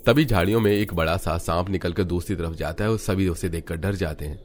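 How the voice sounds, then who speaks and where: A man serves as narrator of a cartoon tale. His voice is deep, the delivery is scripted storytelling, and soft music plays underneath. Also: a little fast.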